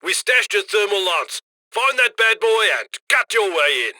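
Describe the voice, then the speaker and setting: A man's voice: sits high, sing-song, moderate pace, pushed and bright, no echo, full character voice. A man playing a cheerful, cheeky heist handler who chummily guides a robbery crew in a video game. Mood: urging, instructing. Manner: chummy, cheeky, colloquial, gleeful about loot. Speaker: mission handler. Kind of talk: video game mission voice lines.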